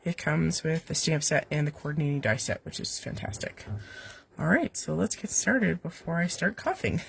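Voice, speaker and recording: A woman with a cold leads a chatty card-making lesson from her basement. Her voice is rough and gravelly, with a faint hiss of noise underneath. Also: deep voice, lively intonation, moderate pace. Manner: chatty, rambling, with fillers and asides to her cat. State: has a cold, voice weak and coming and going. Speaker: host of a live card-making stream. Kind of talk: casual step-by-step craft demonstration. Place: home basement.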